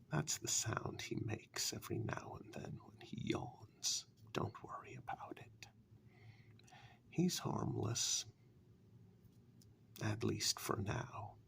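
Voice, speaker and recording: A sinister fairytale warlock, acted by a man, speaks in a very gravelly, crackling voice that often sinks into a whisper. He takes his time over each phrase in a soft ASMR role-play, mocking and slyly reassuring by turns. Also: lowish voice, heavily acted. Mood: falsely reassuring. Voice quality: breathy half-whisper with gravelly creak. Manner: slow, deliberate, taunting rhetorical questions.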